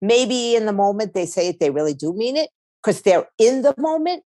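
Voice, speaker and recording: A woman's voice: fairly high pitch, animated, a little fast, dark tone, dry recording, clean studio sound. A woman chatting casually as an interview guest, blunt and candid, telling stories by quoting people. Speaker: interview guest, indie label owner.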